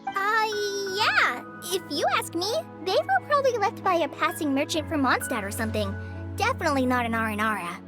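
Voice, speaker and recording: A woman's voice: high-pitched, big pitch swings, fairly quick, over music. A woman voicing a tiny, childlike companion sprite in a video game, frank and chatty in a cutesy, blunt way. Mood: dismissive.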